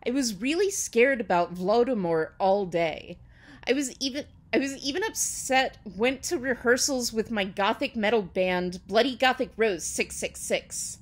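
Angsty goth teen girl, played by a woman, overwrought and defensive, pitched up, for a fan fiction reading. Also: animated, measured pace. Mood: self-important. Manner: overwrought, indignant, emphatic. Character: melodramatic angsty teenage goth girl narrator, petulant and defensive.

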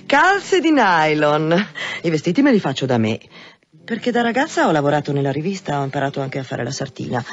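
An actress playing a sultry, teasing, proud brothel madam in a radio drama. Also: female voice, low-pitched, big pitch swings, brisk. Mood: seductive. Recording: dull band-limited radio drama with music.

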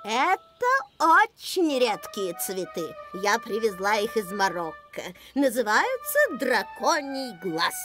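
A woman playing a stern, theatrical elderly shopkeeper with a soft heart in a children's cartoon, dramatic and imperious. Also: medium pitch, sing-song, slow, few pauses, over music. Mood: proud.

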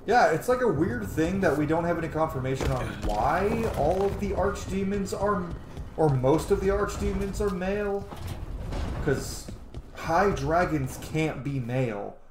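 A man's voice: fairly high pitch, lively intonation, measured pace. A man in his thirties talks casually as host of a gaming video. His voice has a roomy echo, with faint noise behind it. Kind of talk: casual let's play commentary. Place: small room indoors at home.

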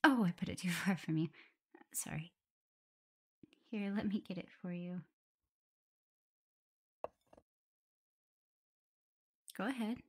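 A woman playing a gentle, playful omega werewolf in an ASMR audio roleplay, talking softly, soothingly and a little hesitantly as she looks after her partner. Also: fairly low pitch, normal speed, husky. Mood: tender, caring. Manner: soft, soothing, hesitant, giggly. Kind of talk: soft ASMR roleplay, intimate one-sided dialogue.

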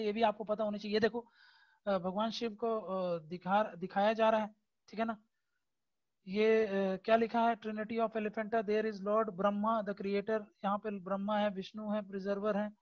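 A man teaching an exam-prep lesson over a screen recording, explaining slowly with many hesitation fillers and constant checks that his students understand. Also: sits high, steady tone, lo-fi sound. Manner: keeps checking understanding with tag questions.

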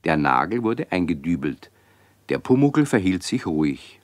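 A man tells a children's radio-play story in a deep, creaky voice. His scripted narration moves with animated intonation and sounds close and dry. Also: moderate pace, no room sound.

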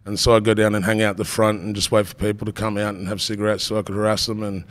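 A man's voice: lowish voice, level tone, quick pace, a bit bright. Laid-back Australian man, rapper guest. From Tasmania. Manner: laid-back storytelling, peppered with "bro". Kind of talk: casual podcast conversation.

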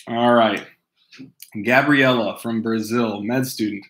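A man's voice: medium pitch, fairly even intonation, slow, a bit bright, rough recording. A man in his thirties from Hawaii, reading viewer mail from home to camera in a casual, easily distracted way, laughing often and restarting sentences. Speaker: host reading fan mail. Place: room at home. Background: dogs barking at times.

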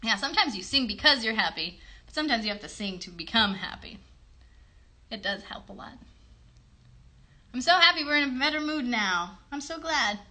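A woman in her thirties with a fairly high, bright voice. She talks to children as a show host, reassuring them and offering plenty of encouragement. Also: animated, moderate pace, long pauses. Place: bedroom. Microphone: phone a short distance away.

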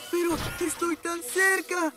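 Woman voicing an eager, high-pitched boy superhero. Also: little pitch movement, slow pace. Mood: urgent. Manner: exclamatory, heroic. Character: eager, brave young boy cat superhero. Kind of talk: animated cartoon dub acting. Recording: loud music behind the voice.

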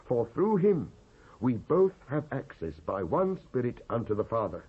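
A British man giving a solemn, declamatory dramatised scripture reading in measured phrases with pauses. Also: mid-range voice, big pitch swings, breathy edge. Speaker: Bible reader, actor. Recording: dull lo-fi cassette transfer.

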